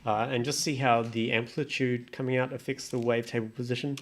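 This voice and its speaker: A man's voice: mid-range voice, little pitch movement, fairly quick. A man hosting a casual music production tutorial, thinking aloud as he talks through each step in an exploratory way. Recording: electronic music playing behind voice. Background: electronic synth sounds and music.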